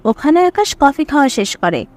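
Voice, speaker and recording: High-pitched woman narrating a story. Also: big pitch swings, normal speed, darkish tone.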